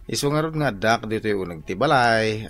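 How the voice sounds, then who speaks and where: A man's voice: fairly low pitch, animated, a little fast, a bit bright. A man playing a lazy, whiny husband who makes hesitant excuses in a comedy radio drama. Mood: whining excuses.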